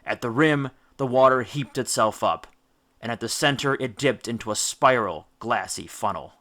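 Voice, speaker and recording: Bright, forceful male storybook narrator. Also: mid-range voice. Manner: theatrical, emphatic, with deliberate dramatic stresses. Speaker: audiobook narrator. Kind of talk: dramatic scripted storybook narration.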